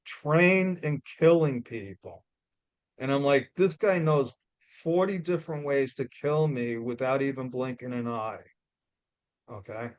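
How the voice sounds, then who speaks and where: A man with a New York accent giving a loud, blunt, emphatic motivational talk as a guest speaker. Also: medium pitch, a little slow, dry recording, lo-fi sound.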